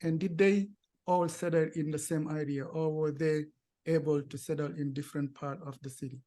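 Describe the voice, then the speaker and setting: A man acts as the interviewer in a conversational exchange. His voice sits at a middling pitch. He puts his questions in halting, deliberate phrases. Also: steady tone, dark tone.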